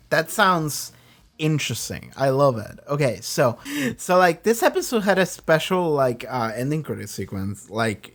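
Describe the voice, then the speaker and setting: A man talking casually and off the cuff as a reaction host, rambling in an animated way with frequent swearing and acted-out asides. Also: medium pitch. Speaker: reaction video host and streamer. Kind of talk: casual off-the-cuff episode discussion.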